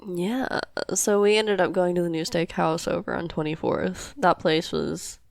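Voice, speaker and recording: A woman's voice: on the low side, steady tone, measured pace, gravelly, dry recording. A woman playing an easygoing, devoted girlfriend in an audio roleplay, casual and reassuring. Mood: amused, casual. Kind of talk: amateur audio roleplay acting.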